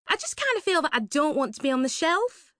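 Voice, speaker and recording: A woman's voice: high-pitched, very animated, fairly bright tone, no echo, studio quality, voice pushed up. Woman doing a squawking, gushing nosy young woman. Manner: squawking, drawn-out exclamations.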